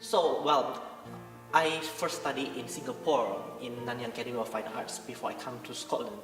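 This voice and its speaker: A man's voice: mid-range voice, steady tone, normal speed. A young Indonesian man, a pianist and composer, speaking earnestly and hesitantly in a pre-concert interview, often searching for words. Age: young adult. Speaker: pianist and composer, masters student. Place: large recital room. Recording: echoey room, distant mic, music behind.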